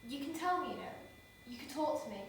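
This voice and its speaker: A woman's voice: high-pitched, expressive, fast talker, a little hiss or hum. A woman playing an insecure, anxious woman who talks too much, rambling and cutting herself off in a stage monologue. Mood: nervous. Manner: rambling, self-interrupting, defensive outbursts. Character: anxious overtalking woman Leah, insecure and defensive. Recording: echoey room from far off.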